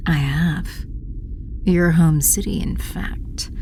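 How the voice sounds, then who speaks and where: A woman playing a slow, gloating evil empress. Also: low voice, darkish tone, a little hiss or hum. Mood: menacing. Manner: imperious, breathy menacing delivery. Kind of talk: audio drama villain acting.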